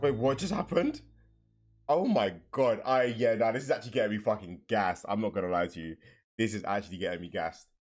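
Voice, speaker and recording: A young man giving casual, excitable, slangy and sweary reaction commentary. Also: fairly low pitch, fairly quick, faint music behind. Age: young adult. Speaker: reaction video host. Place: indoors, with trailer audio behind.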